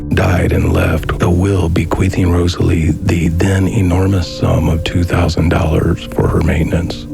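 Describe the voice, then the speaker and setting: A man reading a scripted documentary narration in a measured, formal manner. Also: low voice, creaky. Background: music.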